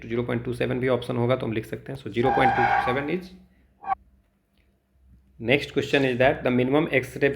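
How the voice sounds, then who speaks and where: A man with an Indian accent teaching exam problems step by step, halting and thinking aloud as he calculates. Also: medium pitch. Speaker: physics teacher for exam preparation. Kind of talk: instructional walkthrough of exam problems, switching between Hindi and English.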